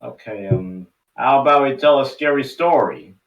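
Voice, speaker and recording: A man's voice: medium pitch, animated, normal speed, roomy sound, far from the mic, lo-fi sound, voice pushed up, close to an everyday voice. A man voicing a cheerful, encouraging grandfather in a cartoon script read. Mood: coaxing, upbeat. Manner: jovial, encouraging. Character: cheerful, hearty grandfather on a summer trip.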